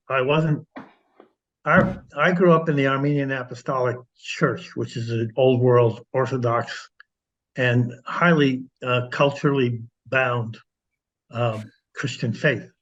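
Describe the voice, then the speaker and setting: An older American man giving a reflective personal testimony in a halting, measured way. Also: mid-range voice, steady tone, muffled recording. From United States. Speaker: congregation member.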